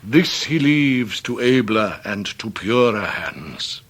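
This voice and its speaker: An actor playing a pompous, theatrically downcast Victorian English debtor gentleman, grandiloquent and long-winded in a period radio drama. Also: lowish voice, big pitch swings, slow, husky, heavily acted. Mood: mournful, grandiose. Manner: grandiloquent, orotund, ornate long-winded phrasing.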